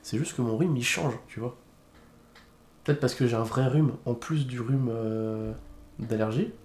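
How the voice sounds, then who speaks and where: A man's voice: medium pitch, a little slow, a little mellow, echoey room. A man streamer with a head cold, chatting casually on a live stream and rambling with lots of hesitations. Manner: rambling, hesitant, with many fillers. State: congested with a cold.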